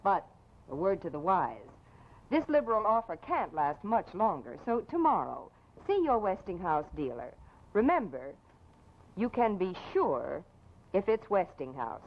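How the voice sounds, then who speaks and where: A woman's voice: mid-range voice, very animated, a little slow. A woman announcer reading a scripted 1950s broadcast commercial in a polished, persuasive way. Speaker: advertising announcer. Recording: dull vintage broadcast with faint noise.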